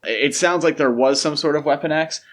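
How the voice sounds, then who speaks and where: A man's voice: on the high side, moderate pace. Man explaining films on a podcast. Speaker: podcast host. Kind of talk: casual film discussion.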